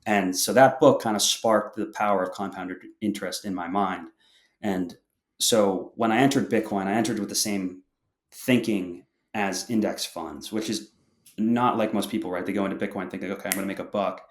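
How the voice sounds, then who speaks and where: A young man with a very deep voice explains his thinking steadily, often backing up to restart a sentence. His voice carries a noticeable echo from the room around him. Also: fairly even intonation, normal speed. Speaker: podcast guest. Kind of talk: interview answers.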